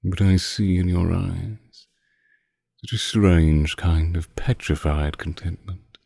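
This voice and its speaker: A man playing a seductive, possessive vampire in an intimate ASMR roleplay, teasing his captive with condescending tenderness in a slow purr. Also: low voice, steady tone, vocal fry, mellow, slightly rough, no room sound, studio quality, full character voice. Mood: seductive, assured.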